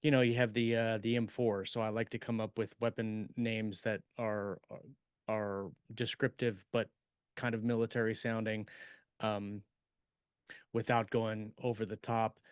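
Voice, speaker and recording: A man co-hosting a podcast, chatting casually and haltingly as he thinks aloud about writing. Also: lowish voice, flat delivery, smooth, no room sound, studio quality. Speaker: podcast co-host, science fiction author.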